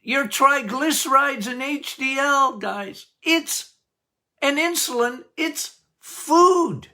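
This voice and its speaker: An older man hosting a health podcast as a doctor, talking loudly and passionately, with frequent laughs. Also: extremely high pitch, slow. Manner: loud, emphatic, passionate, laughs often. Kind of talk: casual health podcast talk.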